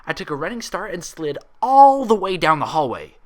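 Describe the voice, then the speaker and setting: Man putting on a high, hyper, cheerful girl pony. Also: moderate pace. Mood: cheerful, excited. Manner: bubbly, excited rambling storytelling. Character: hyperactive, cheerful young female cartoon pony.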